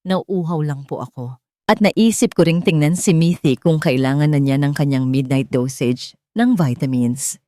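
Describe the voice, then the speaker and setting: A woman's voice: sits low, normal speed, warm tone, no room sound, studio quality, lightly acted. Woman narrator as a meek yet brave servant nurse. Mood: meek, nervous. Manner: deferential, strained, defiant underneath. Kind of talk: Filipino audio drama acting.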